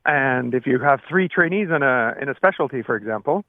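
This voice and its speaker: An older man gives halting, plain-spoken explanations as a radio interview guest, often rewording as he goes. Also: mid-range voice, lively intonation, no echo. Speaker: retired air traffic controller, interview guest. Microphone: phone call. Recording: narrow phone-like line.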